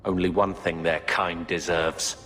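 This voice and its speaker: Resentful fantasy RPG brother: sullen, fairly low, reverberant hall sound. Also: male voice, flat delivery, measured pace, a bit bright, a little hiss or hum. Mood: grimly determined. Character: resentful, brooding younger brother in a fantasy game. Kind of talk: dramatic video game acting. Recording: long hall reverb, faint music behind.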